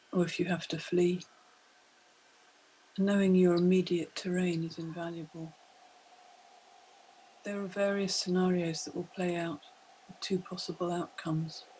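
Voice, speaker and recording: A woman leads a guided meditation as scripted, poetic narration. Her pitch ranges very widely as she tells it deliberately and immersively. The recording has clear ambient noise around the voice. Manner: deliberate, immersive second-person storytelling. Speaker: meditation guide, multimedia artist.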